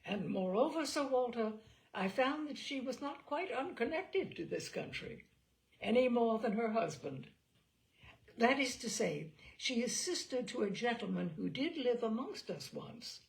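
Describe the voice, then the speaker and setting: A woman's voice: on the high side, very animated, unhurried, vocal fry, voice pushed up, full character voice. A woman voicing a fussy, talkative, deferential male lawyer in an audiobook of a classic novel, rambling eagerly and interrupting himself.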